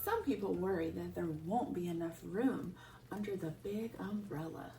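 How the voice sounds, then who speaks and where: Lady reading to little kids, super sing-song up and down, bit of vocal fry, mic kinda far away. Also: lowish voice, normal speed, faint music behind. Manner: expressive, addressing children with questions. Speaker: children's storyteller at a church. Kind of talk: read-aloud storytime for young children.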